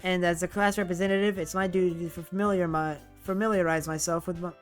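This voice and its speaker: A man's voice: high-pitched, fairly even intonation, fast, soft background music, natural voice. A man voicing a friendly, polite teenage schoolgirl who is class representative in a game, with a raised voice and some stumbles.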